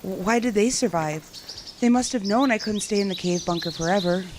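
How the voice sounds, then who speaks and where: Over clear background noise, a lone female survivor played by an actress muses to herself in a medium-pitched voice. She is reflective and yearning, speaking as in an audio drama monologue after the apocalypse. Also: fairly quick, natural voice.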